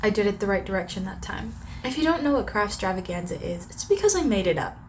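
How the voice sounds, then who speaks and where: A woman's voice: medium pitch, big pitch swings, quick pace, slight background noise. Chatty young woman with a sore throat. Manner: chatty, rambling with many "like"s. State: sore throat, voice a little strained. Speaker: craft vlogger. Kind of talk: casual vlog narration.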